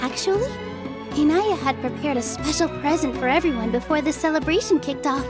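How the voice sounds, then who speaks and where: An actress voicing a gentle, kind young female dancer who speaks in a soft, encouraging, earnest way. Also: high-pitched, fairly quick, music in the background, close to an everyday voice. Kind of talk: video game dub acting.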